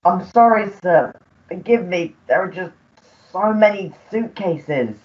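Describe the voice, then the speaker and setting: A woman's voice: fairly low pitch, animated, unhurried, dull audio. A woman playing a long-suffering, deferential English manservant in a comic melodrama radio play, formal and apologetic.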